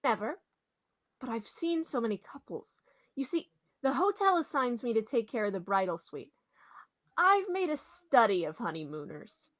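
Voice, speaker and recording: An actress as a nosy hotel attendant woman in a radio comedy play. Her voice is high and bright, swinging widely as she bosses people about. She is brash, officious and sure she knows best. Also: sing-song, moderate pace. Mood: knowing, pushy. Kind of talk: old-time radio comedy-mystery acting.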